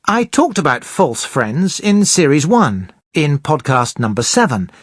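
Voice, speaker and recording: A presenter explaining English grammar slowly and clearly for beginners. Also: mid-range voice, very animated, no room sound, clean studio sound. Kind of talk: scripted teaching narration.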